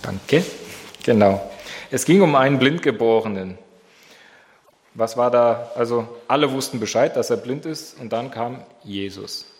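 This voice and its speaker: A man's voice: medium pitch, expressive, measured pace, a little mellow. A man with a slight cold who opens in prayer and then leads a Bible study, asking his group questions. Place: church hall. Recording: long hall reverb.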